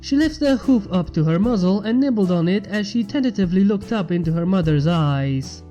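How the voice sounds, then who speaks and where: A woman's voice: medium pitch, expressive, fairly quick, dark tone, muffled recording, faint music behind. A woman reading fan fiction aloud as scripted story narration.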